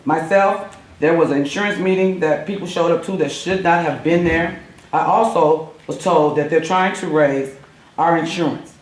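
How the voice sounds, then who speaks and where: A hoarse woman who is losing her voice, speaking plainly as a union steward at a school board meeting, halting now and then with fillers. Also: low-pitched. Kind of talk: public comment at a board meeting. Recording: echoey room.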